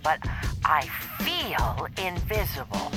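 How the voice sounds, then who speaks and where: Flustered female caller, earnest, stammering, lowish voice, very animated pitch, audio drama, music behind. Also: heavily acted. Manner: halting, stammering. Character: earnest, flustered woman caller convinced she's turning invisible. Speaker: caller to night phone line.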